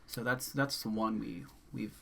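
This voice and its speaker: A man's voice: mid-range voice, steady tone, creaky, fairly bright tone. A man in his thirties co-hosting a book channel, chatting casually and adding short, dry asides. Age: 30s. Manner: brief, dry asides with quick back-channel replies. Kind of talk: casual chat.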